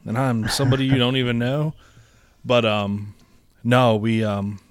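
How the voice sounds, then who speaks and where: A man's voice: mid-range voice, level tone, unhurried, no room sound. A young man from North Carolina co-hosting a casual podcast, telling stories in a rambling way with plenty of swearing and laughs. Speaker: podcast co-host. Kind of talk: casual chat.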